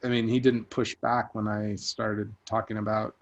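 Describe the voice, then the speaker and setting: A vacation rental host on a group call, telling a story at a measured pace with frequent restarts. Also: male voice, on the low side, little pitch movement, muffled recording. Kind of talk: casual group call chat. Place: online group video call.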